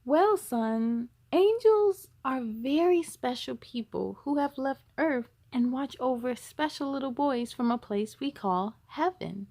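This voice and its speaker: A woman voicing a tender, patient mother in a storybook, gentle and reassuring. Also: high-pitched, animated, slow, hardly any pauses, full character voice.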